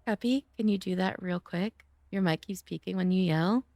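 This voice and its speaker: A woman livestreaming a game, chatting playfully with her viewers, her voice a bit hoarse from days of streaming. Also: on the low side, moderate pace, a little mellow, no echo. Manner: playful, chatty, greeting chat by name. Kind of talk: casual game-stream chat.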